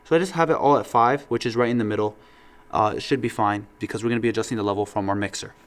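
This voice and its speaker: A man's voice: fairly low pitch, very fast. Casual man giving a hands-on tutorial. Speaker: instructor demonstrating audio gear.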